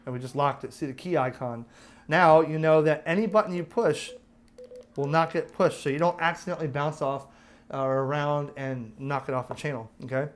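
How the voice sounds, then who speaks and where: A man's voice: fairly high pitch, steady tone, a little fast. An American man giving a casual, chatty product review, explaining features step by step in an informal way. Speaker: host of a radio review channel.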